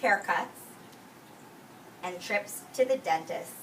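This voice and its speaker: A woman preschool teacher reading aloud expressively to young children, explaining words simply and giving gentle classroom directions. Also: mid-range voice, animated, slow. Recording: roomy, distant microphone, faint noise.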